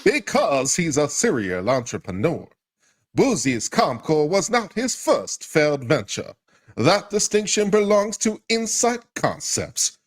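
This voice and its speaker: A man giving a dramatic, mock-grand reading of a lawsuit. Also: a little high. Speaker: guest commentator.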